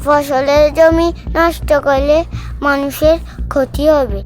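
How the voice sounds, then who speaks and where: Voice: high-pitched, steady tone, slow pace. A performer voicing a mischievous, stubborn village boy in a cartoon, chatty and self-assured as he explains things simply. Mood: patient, instructing. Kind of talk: cartoon voice acting. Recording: music behind the voice.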